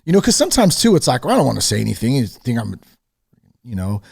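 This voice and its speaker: A man with a mid-pitched voice hosts a casual interview. He speaks informally and with slang, sounding affirming and enthusiastic as he reacts and asks questions. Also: wildly swooping pitch, fast talker, creaky, darkish tone, husky. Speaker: podcast host.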